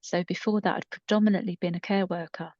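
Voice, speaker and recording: Low-voiced middle-aged woman, muffled and roomy. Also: fairly even intonation, quick pace, darkish tone. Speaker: podcast co-host and coach. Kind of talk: casual conversational storytelling. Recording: muffled, roomy echoing recording.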